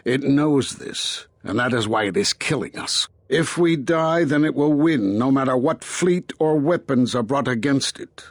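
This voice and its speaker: An older man playing a stern, cautious Jedi master in a video game, grave, deliberate and emphatic. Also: mid-range voice, expressive, dry recording. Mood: grave, insistent. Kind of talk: video game dramatic acting.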